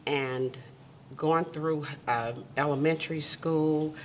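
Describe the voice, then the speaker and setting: A woman's voice: low voice, steady tone, takes it slowly, slight background noise. Candid woman guest telling her story. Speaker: guest, cake business owner. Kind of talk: casual interview.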